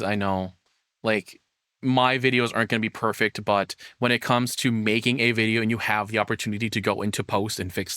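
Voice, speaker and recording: A man's voice: mid-range voice, bright, forceful tone, smooth, dry recording. Man on a dry mic, rambling streamer. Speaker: streamer and VTuber host. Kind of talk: casual livestream chat and opinion rant.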